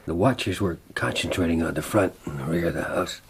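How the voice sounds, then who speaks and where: An actor playing a desperate, haunted frontiersman in a radio drama, grave and weary, with a gravelly, husky voice. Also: sits low, lively intonation, brisk, slight background noise. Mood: grave, tense. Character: haunted, desperate male frontiersman recounting his lost love. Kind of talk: radio drama acting and narration.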